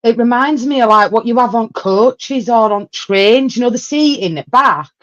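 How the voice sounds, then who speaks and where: A woman's voice: on the high side, lively intonation, moderate pace. Blunt Yorkshire woman, dull lo-fi sound. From Yorkshire, England. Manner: blunt, chatty, local dialect. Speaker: host. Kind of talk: casual football chat.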